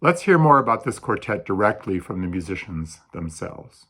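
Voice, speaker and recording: A man's voice: on the low side, sing-song, normal speed, sharp tone, breathy edge. A man hosting a concert, introducing the music informally with hesitant, rambling asides. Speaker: concert host.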